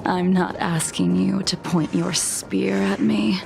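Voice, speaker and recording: A woman playing a cold, composed, ageless swordswoman in a video game, speaking in a measured, softly teasing way. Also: mid-range voice, steady tone, vocal fry, background noise. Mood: cool, challenging. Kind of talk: dramatic video game voice acting. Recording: loud game music behind.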